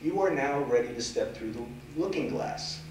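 A man's voice: mid-range voice, animated, normal speed, slight background noise. Emphatic man speaking in echoey council chamber. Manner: forceful, emphatic, standing and gesturing. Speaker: legal aid director giving public comment. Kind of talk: public comment at hearing. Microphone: standing away from desk mic. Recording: echoey chamber, far from mic.

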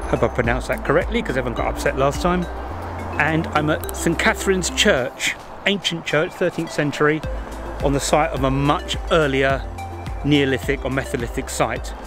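A man's voice: a little high, over music. A middle-aged man in his fifties, chatting casually and musing aloud while walking outdoors, filmed on a handheld camera at arm's length. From England. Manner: chatty, rambling, pauses to muse. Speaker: vlogger. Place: outdoors by a country churchyard near a motorway. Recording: loud traffic noise behind.

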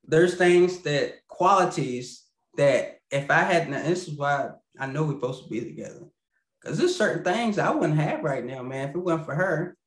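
A man in his thirties chatting as a podcast guest over a video call, rambling and laughing, often trailing off quietly. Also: on the high side, animated, normal speed, reverberant. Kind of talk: casual interview chat over video call. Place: indoor home room with lamp. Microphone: video call, webcam.

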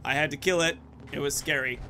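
A man's voice: fairly high pitch, steady tone, a little fast, bright, forceful tone. A young man hosting casual gameplay commentary in a chatty, jokey, rambling way. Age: young adult. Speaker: gaming video host. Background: game ambience, faint noise.

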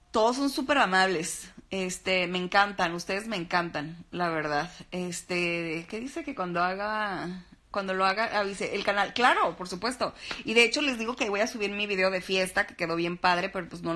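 A Mexican woman in her thirties, lying in bed and chatting casually on a live stream, reading out fan comments in a relaxed, affectionate way, recorded on a phone held at arm's length. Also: medium pitch. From Sinaloa, Mexico. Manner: relaxed, chatty, calls viewers "mi amor" and "baby". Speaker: actress and TV host doing a live stream. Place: lying in bed in a bedroom, indoors.

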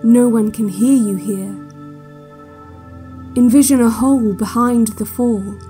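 A woman playing a calm, controlling relaxation tape instructor in an audio drama, measured and soothing with a quietly ominous air, giving guided instructions. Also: a little high. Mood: soothing, hypnotic. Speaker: narrator of relaxation cassettes. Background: new-age music.

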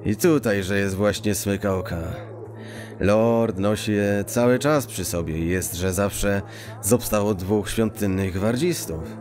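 An actor playing a scheming, chummy street thief who talks in quirky, halting, mock-archaic phrases. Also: male voice, on the low side, over music. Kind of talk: acted fantasy audio drama dialogue.

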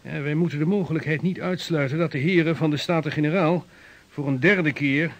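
An actor playing a dignified, shrewd dignitary who backs sea voyages, in radio drama, speaking in a formal, measured way. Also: male voice, fairly high pitch. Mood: wry. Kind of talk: Dutch radio drama acting.

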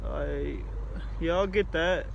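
A man's voice: fairly high pitch, takes it slowly, creaky, highs cut off. A young man pranking drive-thru workers from inside a car, recorded on a phone held close. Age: young adult. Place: inside a parked car at night. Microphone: phone held close in front. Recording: car interior with faint noise.

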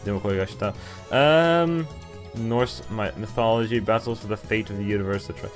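A man's voice: fairly low pitch, faint music behind. A young man giving casual game playthrough commentary and reading text aloud, stumbling a little as he goes. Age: young adult. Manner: halting, stumbling while reading. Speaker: game streamer. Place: indoor room, webcam recording.

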